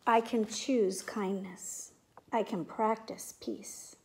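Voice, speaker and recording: A middle-aged woman teacher speaking in simple, clear phrases to young children, as in a read-aloud or classroom demonstration. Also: mid-range voice, steady tone, slow pace. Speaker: teacher making videos for pupils. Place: indoors at home, living room and kitchen. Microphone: device mic at about arm's length.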